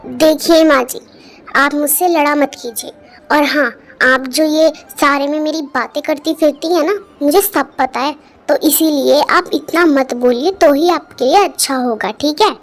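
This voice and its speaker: A bold young daughter-in-law from a storybook tale, speaking in a high voice, as a woman acts her out. She is frank and sharp-tongued. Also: a little slow. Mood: stern.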